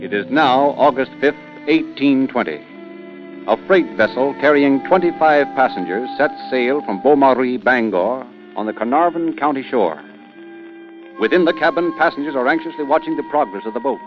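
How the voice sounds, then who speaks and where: A man's voice: medium pitch, animated, moderate pace. A man giving grave, theatrical scripted narration in 1930s radio style, on a dull old recording with music behind.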